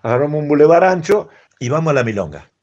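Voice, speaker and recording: An Argentine man, a tango singer, telling an anecdote in an animated, casual way on a radio talk show. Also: a little high, fast talker. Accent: Argentine Spanish. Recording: dull lo-fi radio audio.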